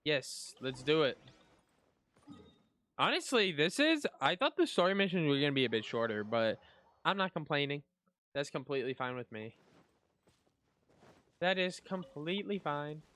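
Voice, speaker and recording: A man's voice: on the high side, big pitch swings, moderate pace, pauses often, a bit bright. A man doing casual gameplay commentary as a streamer, chatting off the cuff. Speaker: gaming streamer.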